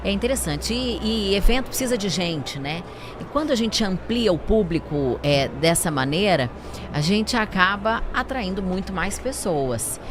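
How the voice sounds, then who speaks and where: A woman with a Brazilian accent hosts a conversational interview. Her pitch is average for a woman. The noise of a trade fair is clearly heard behind her. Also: animated, normal speed. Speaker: podcast host. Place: busy trade fair stand.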